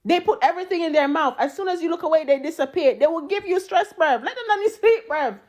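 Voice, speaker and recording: A woman talks fast and loose, peppering every thought with swearing. Her voice sits high and she stays animated and blunt throughout, like a creator ranting straight to her followers. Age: 30s. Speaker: social media creator. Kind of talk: casual storytime rants.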